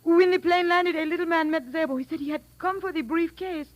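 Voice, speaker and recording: Casting: frightened foreign woman in hiding, very high voice, hesitant formal speech, pleading, vintage radio drama. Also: steady tone, a little fast. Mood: anxious, confessing. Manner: hesitant, breathless repetitions, formal English. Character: anxious foreign female fugitive, pleading and guarded. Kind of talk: 1940s-50s radio drama acting.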